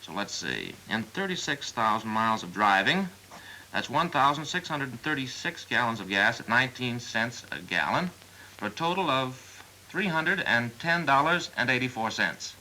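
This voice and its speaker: Bright, persistent old-time car salesman. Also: mid-range voice, big pitch swings, normal speed, low-quality recording. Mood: methodical. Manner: persuasive, polite, methodical sales patter. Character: smooth, persistent car salesman closing a deal. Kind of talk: mid-century training film acting. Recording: old film soundtrack with faint hiss.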